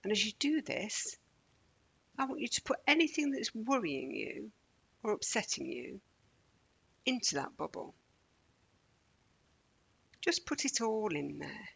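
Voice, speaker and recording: A woman leading a children's guided relaxation in a gentle, soothing, measured manner with deliberate pauses. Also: mid-range voice, big pitch swings, creaky, slightly rough. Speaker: meditation guide.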